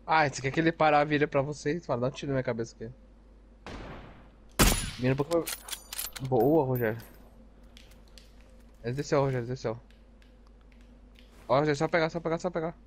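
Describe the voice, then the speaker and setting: A man chatting and teasing his squad mates while playing a shooter, often shouting excited callouts. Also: mid-range voice, fairly quick, long pauses. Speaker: streamer in squad. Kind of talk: casual gaming chat over voice chat. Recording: noisy game audio with gunfire.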